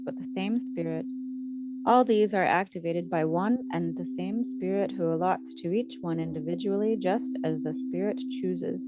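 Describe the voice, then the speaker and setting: A woman narrating a scripted guided meditation with scripture readings, reciting in measured short phrases. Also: medium pitch, very noisy.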